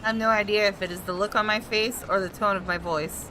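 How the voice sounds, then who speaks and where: A woman gaming host reading visual novel narration aloud in an expressive storytelling style. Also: medium pitch, quick pace, background noise. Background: background music.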